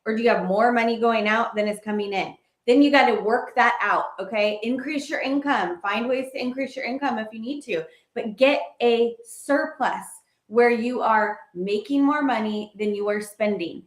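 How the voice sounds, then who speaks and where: A woman giving money advice in a casual co-host chat, animated and quick to laugh. Her voice sits slightly high. The room is echoey. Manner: animated, laughs often, loses her place.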